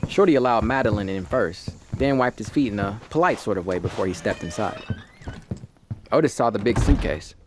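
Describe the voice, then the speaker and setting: A male actor voices a sardonic ghost telling his own story in an audio drama. His voice sits low and his delivery is wry and folksy. There is clear noise behind the narration. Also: animated, brisk, close to an everyday voice. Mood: wry, observing. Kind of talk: first-person scripted story narration.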